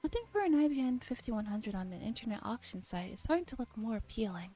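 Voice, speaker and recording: Mellow, higher-voiced woman reading ASMR. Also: big pitch swings, fast. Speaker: streamer reading a visual novel aloud. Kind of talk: soft ASMR read-aloud narration. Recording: background music under voice. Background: soft background music.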